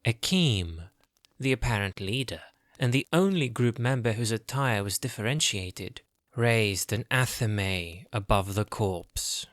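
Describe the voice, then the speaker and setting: A man narrating a scripted fantasy audio drama in a measured storytelling manner. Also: on the low side, expressive, fairly bright tone, no room sound, studio quality.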